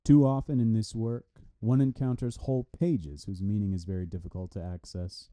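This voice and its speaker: A man's voice: on the low side, steady tone, normal speed, dark tone. A man reading an academic philosophy review aloud in a formal, scripted style. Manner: reads punctuation and citations aloud. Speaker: reader of a translated philosophy article.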